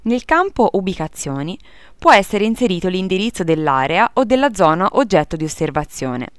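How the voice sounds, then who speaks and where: Clear-voiced woman narrating a tutorial. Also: lowish voice, very animated, moderate pace, no room sound, studio quality. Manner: formal, procedural. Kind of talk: scripted instructional narration.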